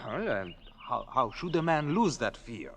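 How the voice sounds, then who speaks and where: An actor playing an earnest, puzzled mountain villager, halting and careful, in a 1940s radio drama. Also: male voice, medium pitch, big pitch swings, a little hiss or hum. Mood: questioning. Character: earnest, puzzled male Alpine villager recounting a strange meeting.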